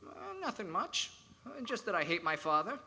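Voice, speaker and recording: An actor playing a naive, good-natured young working lad, hesitant and slangy, in a stage radio play. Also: male voice, sits high, very animated, normal speed, bright, forceful tone, exaggerated voice. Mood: earnest, sheepish. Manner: hesitant, guileless, folksy slangy grammar.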